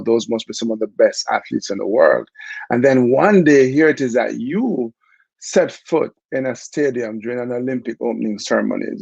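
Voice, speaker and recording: A middle-aged Jamaican man telling his story in a relaxed, chatty interview, with many fillers along the way. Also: mid-range voice, creaky, no room sound, highs cut off. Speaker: interview guest, former Olympic bobsledder.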